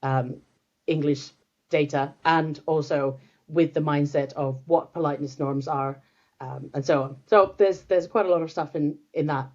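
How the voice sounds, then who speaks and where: Low-voiced Finnish woman, dull sound. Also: steady tone, moderate pace, darkish tone. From Finland. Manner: thoughtful, many restarts and fillers. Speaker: guest expert. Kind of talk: casual video interview.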